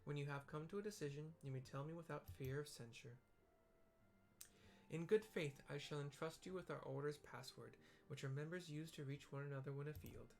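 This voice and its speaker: A man's voice: a little high, fairly quick, darkish tone. A man voicing a formal, courtly young lady leader from a video game in an attempted British accent, now and then stumbling over the words. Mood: earnest, formal. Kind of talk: amateur read-aloud of game dialogue. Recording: clearly audible background noise.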